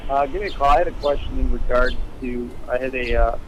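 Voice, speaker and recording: A man playing a casual caller leaving a halting, rambling voicemail message in an audio drama. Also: medium pitch, close to an everyday voice. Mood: casual, hesitant. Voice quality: hesitant, halting delivery. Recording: noisy answering machine phone message.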